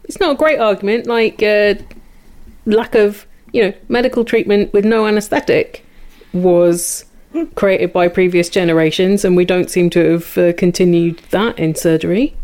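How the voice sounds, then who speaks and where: A woman's voice: mid-range voice, steady tone, normal speed, a little mellow. A woman chatting satirically as a comedy panellist, with a wry, informal manner.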